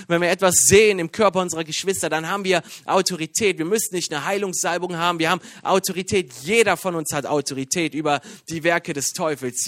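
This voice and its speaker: A man's voice: high-pitched, dry recording. Joking male preacher, dry close sound. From Iran. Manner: lively, joking, many fillers and restarts. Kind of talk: sermon. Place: church.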